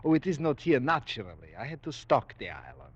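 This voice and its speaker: An actor playing a cultured, cold-blooded aristocratic general in a 1940s radio drama, courtly, formal and boastful, with measured relish. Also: male voice, medium pitch, wildly swooping pitch, slightly rough. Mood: proud, courtly. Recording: dull vintage 1940s radio recording.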